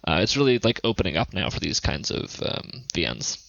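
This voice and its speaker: A man hosting a podcast, running casually through news items in a halting way, on a dull recording. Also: low-pitched, sing-song, creaky, fairly bright tone, dry recording. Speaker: podcast host.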